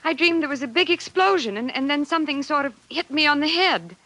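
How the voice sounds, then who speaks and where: Solicitous 1950s wife, high clear-cut bright voice, vintage radio play style. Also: female voice, expressive, brisk, dry recording, rough recording. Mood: startled. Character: concerned, gentle 1950s housewife.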